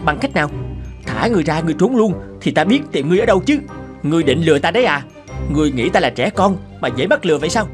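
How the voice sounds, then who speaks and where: A man's voice: fairly high pitch, expressive, fast talker, over music, lightly acted. A man voicing a burly, mocking, suspicious hunter in a cartoon, theatrical and blustering. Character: burly middle-aged male hunter, gloating, mocking, suspicious. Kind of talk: cartoon character acting.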